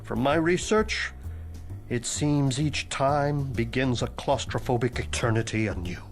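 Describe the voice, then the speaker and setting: Guy doing a creepy deadpan ghost headmaster, dry and formal, middle pitch, music behind. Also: a little slow, close to an everyday voice. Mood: wry. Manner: dry, formal, morbidly deadpan, drops into whispers. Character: ghostly dead male headmaster, dry, macabre, aloof. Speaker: dead former headmaster. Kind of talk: video game cutscene dialogue.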